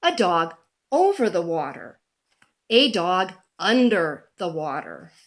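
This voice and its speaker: A woman reading a children's picture book aloud in a deliberate, animated storytelling manner. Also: fairly low pitch.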